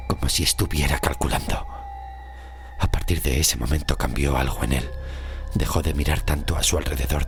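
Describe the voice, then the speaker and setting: A man with a Spain Spanish accent narrating a scripted horror story in a slow, grave, measured way. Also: a bass voice. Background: music.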